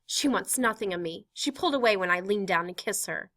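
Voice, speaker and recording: A woman's voice: medium pitch, expressive, fairly quick, fairly bright tone, close to an everyday voice. Woman voicing a hurt, tender mother. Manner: tender, wounded.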